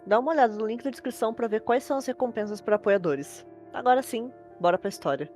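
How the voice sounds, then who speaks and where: A woman's voice: a little high, quick pace, darkish tone, faint music behind. A young Brazilian woman telling a scary story as host, in casual scripted narration. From Brazil, from a capital city.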